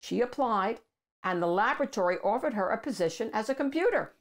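An older woman reads a picture book aloud as a host for children, with deliberate, emphatic phrasing. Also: fairly high pitch, animated. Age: older, 60s or above. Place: small room at home with bookshelves.

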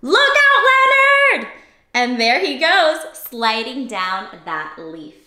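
A young adult woman reading a picture book aloud to young children in an animated, exclamatory, questioning way. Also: high voice, takes it slowly. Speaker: children's read-aloud host. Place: small cozy indoor room. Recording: roomy indoor echo.

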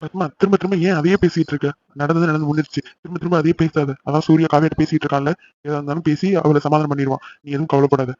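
Calming husband for family drama male actor: middle-aged, firm, quick delivery, slightly muffled recording. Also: a little high, slight background noise, lightly acted. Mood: firm, reassuring. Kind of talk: melodramatic family audio drama.

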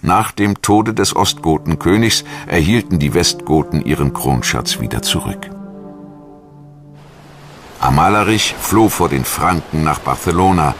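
A man reading scripted documentary narration in a measured, formal manner. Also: very deep voice, vocal fry.

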